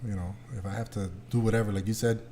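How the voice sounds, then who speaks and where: A man's voice: fairly low pitch, steady tone, fast, mellow, slight background noise. A man hosting a podcast, chatting casually with the group. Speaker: podcast host.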